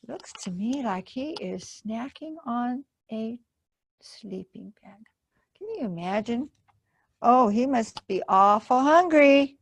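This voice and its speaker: Woman, children's storytime reader: very slow delivery, highish pitch, expressive and simple. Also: dry recording. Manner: expressive, simple explanations addressed to young children. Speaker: library storytime host and reader. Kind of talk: children's picture-book read-aloud.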